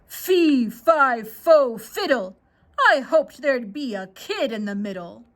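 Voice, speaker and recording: A woman voicing a huge, hungry female giant from a children's storybook, chanting in rhymes with a gruff, booming delivery. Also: lively intonation, slow pace. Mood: searching, gloating.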